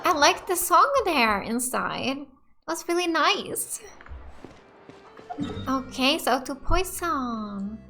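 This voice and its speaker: Very slow, high-voiced woman gamer. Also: sing-song, pushed and bright, soft background music. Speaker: gamer doing let's play. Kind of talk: casual gameplay chat.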